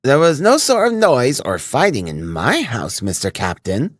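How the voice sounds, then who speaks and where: A man's voice: mid-range voice, big pitch swings, measured pace, dry recording, clean studio sound, voice pushed up. A man voicing a fawning, indignant landlady with a German accent who patters on. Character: fawning middle-aged German landlady, chattering.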